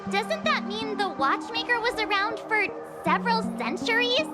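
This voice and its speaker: A woman's voice: very high voice, animated, moderate pace. A woman voicing a small, childlike companion in a video game, cheeky and inquisitive, with a pointed questioning manner. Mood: curious, skeptical. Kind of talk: English video game voice acting. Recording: loud music behind the voice. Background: loud background music.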